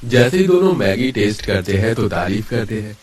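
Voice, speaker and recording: A man's voice: fairly low pitch, big pitch swings, normal speed, a little mellow, husky. A man narrating a scripted story in a dramatic storytelling style.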